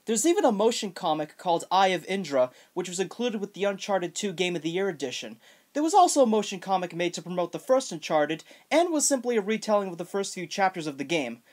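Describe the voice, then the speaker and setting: A woman's voice: fairly low pitch, big pitch swings, fast talker, darkish tone. A woman reading a scripted video game review as narration.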